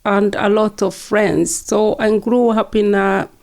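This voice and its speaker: Older Kenyan woman with a creaky voice. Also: mid-range voice, level tone, slow pace, a little mellow. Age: 70s. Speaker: interview guest, retired nurse and midwife. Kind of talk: conversational oral-history interview, recollecting.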